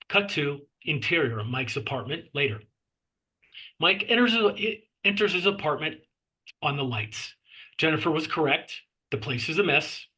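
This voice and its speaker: A man's voice: fairly high pitch, sharp tone, slightly rough, rough recording. A man reading out scene descriptions as the narrator of a screenplay table read. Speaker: narrator reading stage directions.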